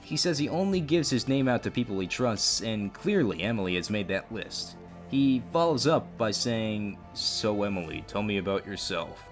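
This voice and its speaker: Male commentary host, lively swinging pitch, expressive and openly appalled, reading chat logs over a music bed. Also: moderate pace. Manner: exclamatory, openly disgusted asides. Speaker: YouTube host narrating a story. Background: background music.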